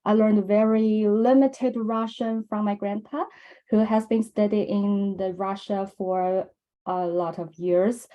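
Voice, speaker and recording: A young woman from mainland China with a Chinese accent, giving a measured academic conference presentation with frequent ums and uhs. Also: mid-range voice, fairly even intonation, muffled recording. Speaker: presenter at a research symposium.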